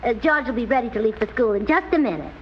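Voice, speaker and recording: An actress playing a scatterbrained, cheerfully illogical American wife, chirpy and guileless, in a 1940s radio comedy on a muffled, noisy old recording. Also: female voice, fairly high pitch, animated, quick pace, natural voice. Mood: proud, chirpy.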